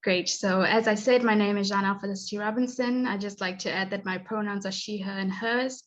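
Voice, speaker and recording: A woman's voice: medium pitch, steady tone, fairly quick, highs cut off. A woman, giving a prepared talk as a guest speaker and sliding in small laughs and fillers. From born in Zimbabwe, raised in South Africa. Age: 20s. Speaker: guest speaker, graduate student.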